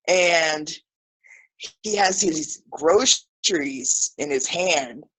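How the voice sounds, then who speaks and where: A woman's voice: low voice, poor audio. A woman in her thirties from Brazil, an English teacher, chatting casually about a comedy film on a video call. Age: 30s. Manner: relaxed, chatty, lots of "you know". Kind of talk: casual storytelling on a livestream.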